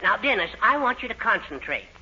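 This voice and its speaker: An actor playing a meek, nervous henpecked husband in a 1940s radio sitcom, hesitant and placating. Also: male voice, high voice, big pitch swings, brisk, sharp tone, full character voice. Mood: earnest. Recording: dull 1940s radio with audience, faint noise.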